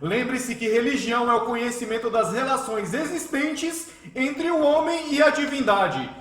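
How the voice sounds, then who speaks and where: Fiery high-pitched priest. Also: male voice, expressive, moderate pace, a bit bright, reverberant. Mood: scornful, preaching. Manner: frenetic, oratorical, emphatic repetition. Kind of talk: radio drama acting.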